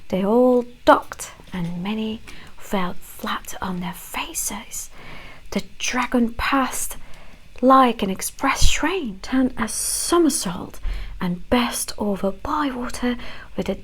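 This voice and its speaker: Slow whispering woman reading a story. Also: fairly high pitch, slightly rough, poor audio. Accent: mixed British and Canadian. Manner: gentle, hushed, unhurried delivery. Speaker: ASMR storyteller.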